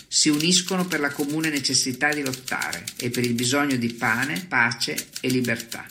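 A man reading a scripted manifesto as a narrator, measured and declamatory. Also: a little high. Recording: clear typewriter-like clicking noise.

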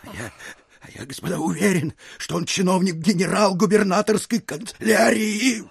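An actor playing a timid, flustered provincial official in an audio drama, hesitant and plaintive, with a creaky, husky voice. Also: male voice, sits high, moderate pace, no echo, studio quality, heavily acted. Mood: nervous, unsure.

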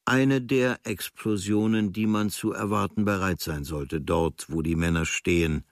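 A man reading a travel diary as scripted literary radio narration, in measured, observational short phrases. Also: low-pitched, steady tone, no echo, clean studio sound. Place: studio.

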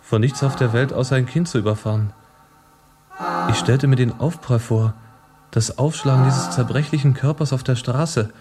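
A male actor as a sensitive young revolutionary in a serious radio play, anguished and confessional. His mid-pitched voice moves haltingly but intensely, with music clearly underneath. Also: a little mellow, close to an everyday voice. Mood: anguished, confessing. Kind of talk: serious stage-like radio drama acting.

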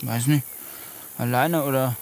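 A man's voice: mid-range voice, steady tone, a little slow, a little mellow, lightly acted. An actor plays a brooding, tough village boy in his teens. He speaks tersely and bluntly in a naturalistic radio play. The outdoor recording has crickets and noise around him. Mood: guarded, gruff. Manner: terse, blunt, few words. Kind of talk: naturalistic German radio drama acting.

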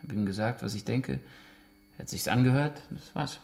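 An actor playing a defiant, evasive uncle under interrogation in a naturalistic radio drama, answering curtly. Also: male voice, medium pitch, moderate pace, darkish tone, lightly acted. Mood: guarded, curt.

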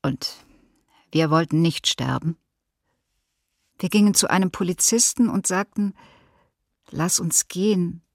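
Low-voiced serious woman survivor. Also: gravelly, dry recording, studio quality. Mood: serious, remembering. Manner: measured, serious. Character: woman survivor recalling her escape at seventeen. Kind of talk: testimony reading in documentary radio drama.

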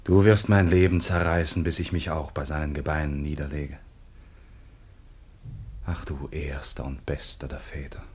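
An actor playing a devoted, grief-stricken son, declaiming with passion in a solemn classical radio play. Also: male voice, very deep voice, darkish tone. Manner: impassioned, declamatory lament.

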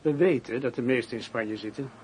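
An actor playing a cautious, correct police inspector in a radio play, speaking formally and in measured phrases. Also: male voice, mid-range voice, a little mellow, slight background noise, lightly acted. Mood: reserved.

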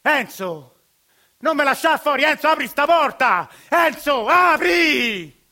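An actor with a Roman accent playing a desperate father who shouts and pleads through a locked door. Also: very high-pitched, expressive, slow, voice pushed up, full character voice. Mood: pleading.

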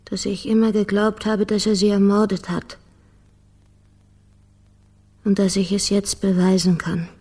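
An actress playing a bitter, defiant grown daughter in a German radio crime drama, curt and controlled. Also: female voice, medium pitch, level tone, measured pace, pauses often, dark tone, slightly rough. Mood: subdued, bitter.

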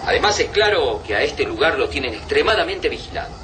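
A man's voice: a little high, very animated, fast talker, background noise. A man with an Argentine accent playing an exasperated, protective comrade in a radio drama. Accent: Argentine, Rioplatense. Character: exasperated, protective male comrade arguing with his friend. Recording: radio play with loud background ambience.

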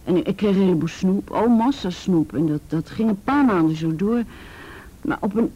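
A woman's voice: medium pitch, lively intonation, moderate pace, dark tone, slightly rough, highs cut off. An actress playing a frightened teenage girl in a Dutch radio play, stammering and repeating words as she recounts painful memories. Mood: hesitant, wistful.

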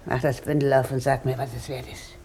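A woman's voice: a bass voice, level tone, brisk, dark tone. A woman acting a poor, grasping laundress in a 1960s German radio drama, coarse and defiant. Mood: greedy. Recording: 1960s radio recording, faint noise.

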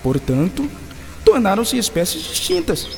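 An actor playing a courteous, outgoing English gentleman with a formal, theatrical manner in an educational radio drama. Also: high-pitched, huge pitch swings, measured pace, creaky, full character voice. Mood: assured, explanatory. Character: Thomas Huxley, courteous, outgoing English gentleman friend of a scientist. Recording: hall reverb with faint music underneath.

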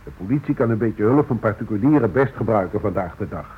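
Touchy self-assured business owner, rough husky voice, old radio play, super thin tinny audio. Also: male voice, lowish voice, animated, fast. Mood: insistent. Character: defensive, self-assured small-firm businessman, touchy about trust.

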